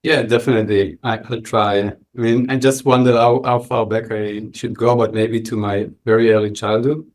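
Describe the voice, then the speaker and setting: A man with an Israeli accent answering interview questions reflectively, often pausing mid-sentence. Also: medium pitch, flat delivery. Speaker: podcast guest, entrepreneur.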